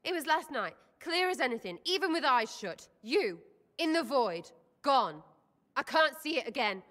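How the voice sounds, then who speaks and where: A young female elf rebel in a fantasy video game, fairly high in pitch with an English accent. She is brash, emotional and irreverent, talking in blunt, cheeky slang. Her voice rings with long hall reverb. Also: sing-song, moderate pace, a bit bright. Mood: upset, pleading. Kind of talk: video game cutscene acting.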